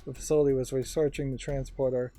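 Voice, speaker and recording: A man doing casual gameplay commentary and reading aloud, halting as he thinks out loud. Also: medium pitch, level tone. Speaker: let's play host. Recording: quiet game music behind. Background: game music.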